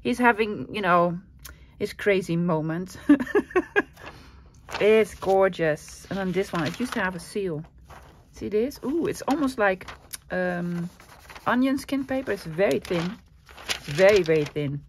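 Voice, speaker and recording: A woman's voice: medium pitch, animated, measured pace, long pauses, a little hiss or hum. Gushing Dutch-accented woman vlogger. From Netherlands. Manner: gushing, enthusiastic, chatty. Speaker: craft vlogger. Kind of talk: casual haul vlog.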